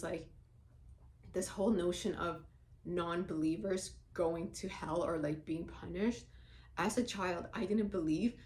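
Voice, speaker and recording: A Somali-born woman in her forties with Somali as her first language, talking bluntly and swearing in a casual vlog. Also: on the low side. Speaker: vlogger. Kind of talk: casual talk to camera.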